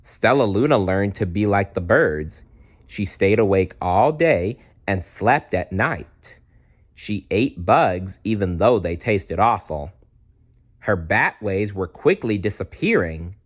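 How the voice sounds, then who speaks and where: A man reading a children's storybook aloud as narrator, in a dramatic, deliberate way. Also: on the low side, muffled recording. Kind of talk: expressive children's read-aloud.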